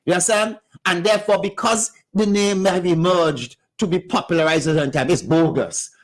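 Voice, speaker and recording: A man's voice: high-pitched, normal speed. Insistent man arguing on radio. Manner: insistent, interrupting, lecturing. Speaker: radio co-host. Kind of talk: heated radio discussion. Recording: radio studio.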